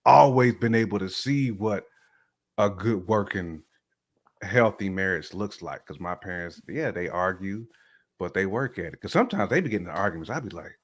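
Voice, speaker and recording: A man's voice: low-pitched, animated, moderate pace. A man with a Southern American accent co-hosting a casual talk show, laid-back and slangy. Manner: laid-back, uses slang, says "like" often. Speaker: co-host. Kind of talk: casual podcast chat.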